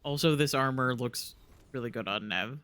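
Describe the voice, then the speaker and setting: A man's voice: medium pitch, normal speed, sharp tone, dry recording. A man giving casual live gameplay commentary as a streamer, affectionate and gushing, close on the microphone. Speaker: video game streamer.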